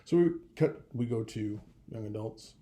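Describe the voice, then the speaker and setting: A young man telling a personal story in a casual vlog, rambling and animated, with a gravelly voice. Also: lowish voice, unhurried, slight background noise. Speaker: vlogger.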